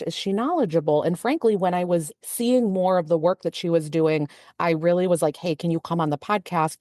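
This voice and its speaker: A woman's voice: lowish voice, darkish tone, no room sound, clean studio sound. A woman hosting a podcast who introduces her guest and asks questions in an enthusiastic, effusive way. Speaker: podcast host, physician. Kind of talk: casual interview hosting.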